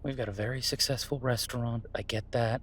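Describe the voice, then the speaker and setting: A man's voice: mid-range voice, steady tone, fairly quick, a little hiss or hum, lightly acted. A man acting as an easygoing chef talking to a partner in a naturalistic audio roleplay, conversational, hesitant and wry. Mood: gentle reassurance. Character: Beau, easygoing male chef, reassuring his werewolf partner. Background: ambient sound effects.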